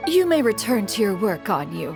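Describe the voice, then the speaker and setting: A woman's voice: mid-range voice, sing-song, moderate pace, vocal fry, over loud music. A woman voicing a dignified, aloof immortal in a video game, speaking in a formal, stately, archaic manner. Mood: stately, composed. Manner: formal, archaic, stately, calls herself "one".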